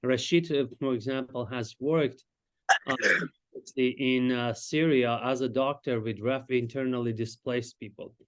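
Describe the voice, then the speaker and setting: A man from Iran, a Farsi speaker, presenting his research as a psychiatrist in a lecture and pausing often to search for words. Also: medium pitch, steady tone. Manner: explanatory, searches for words with frequent hesitations.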